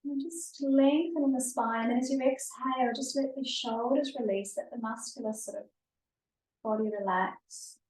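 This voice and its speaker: A woman yoga instructor leading a guided stretching and breathing class in a gentle, soothing, unhurried manner, recorded live in a studio. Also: sits high. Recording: echoey room, distant mic.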